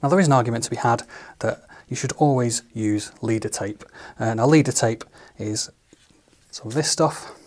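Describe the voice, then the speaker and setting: A man giving a relaxed, methodical hands-on tutorial as a studio engineer, walking through each step with the odd filler. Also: mid-range voice, moderate pace. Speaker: studio engineer demonstrating equipment.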